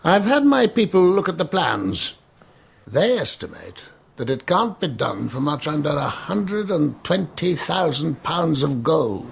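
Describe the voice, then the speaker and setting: Gravelly, husky-voiced commanding emperor. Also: male voice, a little high, big pitch swings, full character voice. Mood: shrewd, weighing. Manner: imperious, deliberate, wry. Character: Byzantine emperor Leo, commanding, shrewd, suspicious older man. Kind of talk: radio drama acting.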